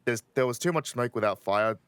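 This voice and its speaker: A man's voice: mid-range voice, fairly quick, fairly bright tone, clear, resonant tone, no room sound. A man who is a podcast regular, chatting casually in an informal, slangy way. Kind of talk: casual chat.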